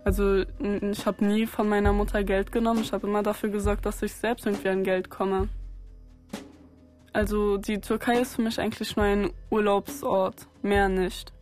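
A young woman from Berlin speaks in an even, level voice with little rise or fall, her pitch sitting mid-range. She talks calmly and reflectively, telling her own story for a documentary. Clear music plays underneath her voice throughout. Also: moderate pace. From Germany, Berlin. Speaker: interviewee. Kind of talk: personal documentary interview.

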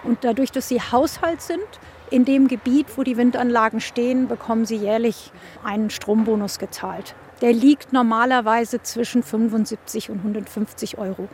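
A woman answering an interviewer outside, in an explanatory manner. Her voice is slightly high and she is a bit off-mic. Faint street and traffic noise runs behind her. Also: fairly even intonation, moderate pace, a little mellow.

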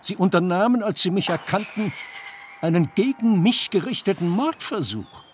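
An actor playing a stiff, aggrieved army general giving a formal, pompous report in a radio drama. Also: male voice, sits high, expressive, normal speed, a little hiss or hum. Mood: indignant, regretful.

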